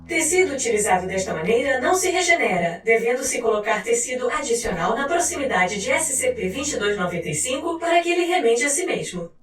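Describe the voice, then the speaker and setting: A woman's voice: fairly high pitch, sing-song, brisk, hardly any pauses, fairly bright tone. A woman with a Brazilian accent reading a scripted scientific file in a formal, clinical manner. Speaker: narrator. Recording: roomy echo, distant mic, faint music. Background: music.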